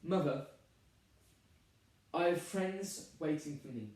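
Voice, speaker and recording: A man's voice: high voice, very animated, a little slow, darkish tone. Young man as put-upon groom, emphatic stage delivery, exasperated, very echoey, far from the microphone. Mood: pleading. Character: harried, put-upon groom recounting a family crisis. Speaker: drama student performer. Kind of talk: dramatic stage monologue. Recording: very echoey room, distant microphone.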